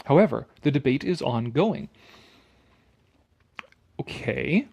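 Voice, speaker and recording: A man's voice: medium pitch, very animated, slow, creaky, darkish tone, breathy edge, highs cut off. A man reading an article aloud with casual, sarcastic commentary, blunt and dismissive, prone to swearing. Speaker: online commentator.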